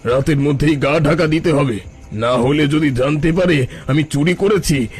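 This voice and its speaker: A man's voice: fairly high pitch, moderate pace, a little mellow, breathy edge. An actor voicing a sly, self-important fake holy man in a cartoon story. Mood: furtive. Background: background music.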